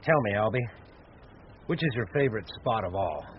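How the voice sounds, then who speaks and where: An actor playing a courteous, well-spoken and inquisitive city visitor in a western radio drama. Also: male voice, lowish voice, expressive, moderate pace, breathy edge. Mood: curious. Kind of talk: naturalistic radio drama acting. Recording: tinny narrow-band radio sound, background noise.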